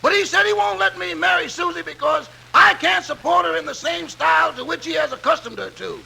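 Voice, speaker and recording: An actor playing a put-upon, wisecracking household servant with a rasping voice and dry, punchy timing in 1950s radio comedy. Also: male voice, extremely high pitch, brisk, pushed and bright, full character voice. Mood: dryly comic. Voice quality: very gravelly, rasping. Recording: noisy vintage radio recording.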